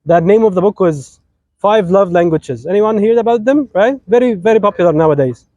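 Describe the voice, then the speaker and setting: A man's voice: high voice, expressive, a little fast, warm tone, no room sound, highs cut off. A young Egyptian man leading a wedding as officiant. He is a little sick and his voice isn't at full strength, but he talks playfully and teases the couple. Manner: playful, teasing, switches between English and Arabic. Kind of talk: lively wedding sermon, joking with the couple.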